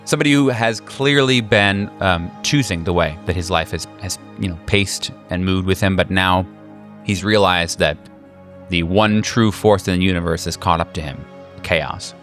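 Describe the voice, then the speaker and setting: Male game master, low voice, casual halting descriptive narration for tabletop horror, faint music underneath. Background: soft music.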